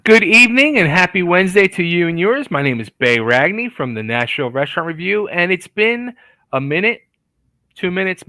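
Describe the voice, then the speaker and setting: A man hosting a casual restaurant interview show, chatty and animated, with lots of fillers and restarts. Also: on the high side, dry recording. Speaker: podcast host.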